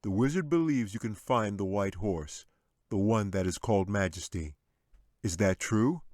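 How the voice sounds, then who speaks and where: A solemn guardian angel from a fantasy storybook, played by a man, speaking with calm, measured formality. The voice is very low and reassuring, recorded close and dry. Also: no echo, lightly acted. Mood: probing. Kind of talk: fantasy audiobook character acting.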